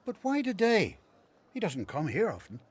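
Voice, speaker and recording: An actor playing an elderly temple priest in a radio play, anxious and devoted, with an earnest, tender way of talking. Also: male voice, high-pitched, wildly swooping pitch, normal speed, slightly rough, exaggerated voice. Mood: mild, curious.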